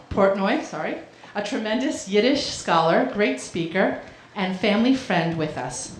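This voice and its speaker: A woman welcomes an audience and reads prepared remarks at a podium. Her pitch sits mid-range, and her voice rings in a roomy hall over a faint background hum. She speaks in a measured way with the odd small slip. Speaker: lecture host giving opening remarks. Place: university lecture hall.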